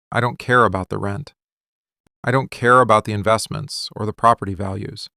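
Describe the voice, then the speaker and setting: A man playing a possessive, obsessive mafia boss in an intimate boyfriend ASMR roleplay, speaking slowly, deliberately and with commanding, menacing intensity. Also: fairly low pitch, a bit bright, no room sound, studio quality. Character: possessive, obsessive male mafia boss confronting his love. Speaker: ASMR voice actor.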